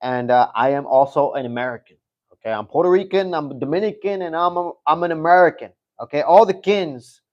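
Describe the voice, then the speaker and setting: A man with a fairly high voice talks loosely on a live stream, circling back over his own words and swearing now and then. The sound is dull and lo-fi, as on a home stream. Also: a little fast, a little mellow. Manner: rambling, repeats himself, fillers and profanity. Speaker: livestream host. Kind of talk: casual live-stream commentary.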